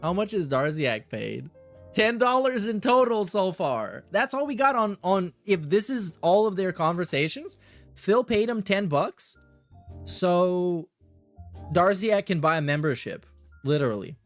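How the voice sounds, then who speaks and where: A man's voice: high-pitched, very animated, measured pace, faint music behind. A man hosting a reaction show in a casual, blunt, sardonic way, reading messages aloud and swearing as he comments.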